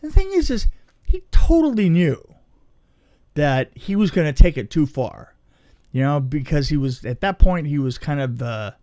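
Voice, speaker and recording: Halting male storyteller, dry close sound. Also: on the high side, very animated, dark tone, dry recording. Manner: halting, rambling, self-interrupting delivery. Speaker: film special effects technician telling a story. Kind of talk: casual storytelling on a podcast.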